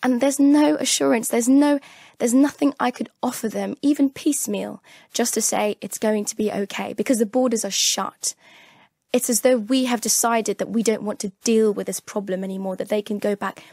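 A woman's voice: on the high side, fairly even intonation, normal speed, vocal fry, mellow, dry recording, clean studio sound. A woman journalist from Afghanistan speaking as a guest on a radio discussion, talking with urgent passion and repeating words as she presses her points.

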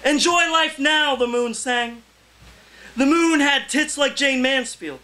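High-pitched, forceful man declaiming poetry. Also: takes it slowly, poor audio. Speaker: poet. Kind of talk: live poetry reading, declaimed. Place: indoor cafe reading before a small audience.

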